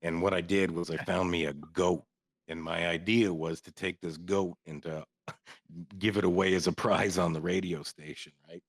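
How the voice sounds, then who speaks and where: A man from Canada with a very deep voice tells stories in an easygoing, joking way, laughing often and swearing casually. The sound is dry and close to the mic, with no room around it. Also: steady tone, moderate pace, no echo. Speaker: guest, former soldier. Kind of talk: casual podcast storytelling.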